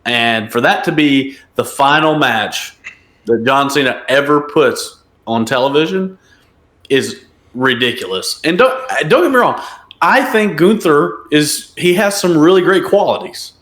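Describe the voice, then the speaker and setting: A man's voice: fairly high pitch, lively intonation, measured pace, fairly bright tone. Podcast co-host, male, emphatic and opinionated, recorded with a roomy echo. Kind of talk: casual wrestling podcast chat.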